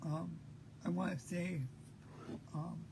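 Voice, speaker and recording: A man in his fifties hosting an unscripted monologue, talking haltingly with many pauses, tired and short of breath. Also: high-pitched, level tone, vocal fry, noisy background. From Maine. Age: 50s. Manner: halting, full of pauses and fillers. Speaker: host.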